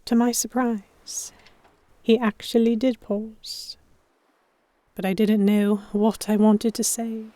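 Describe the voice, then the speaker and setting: A woman narrating a first-person historical romance story with careful, expressive, scripted delivery. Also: a little high, slow, no echo. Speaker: narrator and lead heroine.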